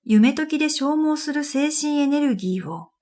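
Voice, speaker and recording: A woman playing a pensive dream reader, narrating her own story in a quiet, measured radio drama style. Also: high-pitched, expressive. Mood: pensive, calm. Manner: quiet, measured, pensive, trailing into breathy phrase ends. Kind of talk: scripted first-person radio drama narration.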